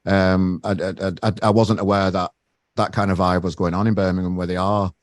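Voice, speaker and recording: A man from Sheffield with a northern English accent hosts a relaxed music interview. His voice sits fairly low, and the sound is dry and close with no room in it. He talks casually, restarting his sentences and calling people mate. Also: brisk, dry recording. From Sheffield, England. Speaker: interviewer and gig promoter.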